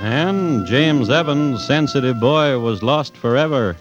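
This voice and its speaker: Radio drama narrator: wry, sentimental, mid-range voice, dulled vintage recording, music underneath. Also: male voice, medium pitch, lively intonation, takes it slowly. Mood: wistful, dramatic. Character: wry, sentimental male storyteller. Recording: muffled old radio broadcast.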